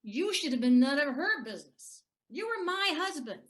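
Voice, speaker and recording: A woman's voice: sits high, sing-song, normal speed, a bit bright. A woman playing a bitter, hard-drinking ex-wife in an audio play, taunting and sardonic. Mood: bitter, angry.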